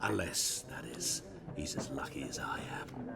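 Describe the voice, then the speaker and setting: An actor playing a sly, ingratiating vagrant merchant in a fantasy video game, with a florid, wry, theatrical delivery. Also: male voice, rumbling low, expressive, unhurried, gravelly, full character voice. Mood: wry, grandiose. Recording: tavern crowd behind.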